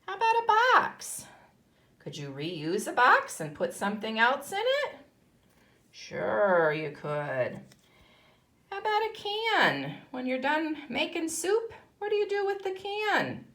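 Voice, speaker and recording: A woman's voice: sits high, huge pitch swings, takes it slowly, fairly bright tone. A woman with an American accent teaching young children, instructive, posing questions and answering them herself. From United States. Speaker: kindergarten teacher. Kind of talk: read-aloud lesson for young children.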